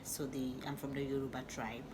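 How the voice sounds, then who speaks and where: A young woman with a Nigerian accent chats through a personal story, her voice sitting low. She laughs often and restarts her sentences as she goes. A loud noise runs steadily behind her. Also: little pitch movement, fairly quick. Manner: chatty, laughing, with many restarts and asides. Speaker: vlogger. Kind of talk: casual storytelling to camera.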